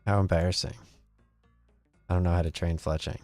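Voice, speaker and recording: Male gaming host, notably low register, loose think-aloud commentary, dry recording with soft background music. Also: steady tone, fairly quick, no room sound. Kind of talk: casual gameplay commentary.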